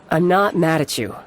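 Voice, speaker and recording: A man's voice: a little high, a bit bright, slightly rough, exaggerated voice. A man voicing a breezy, self-assured young fighter and affectionate big brother in a video game. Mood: concerned, gentle. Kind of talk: animated video game character acting. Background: background game music.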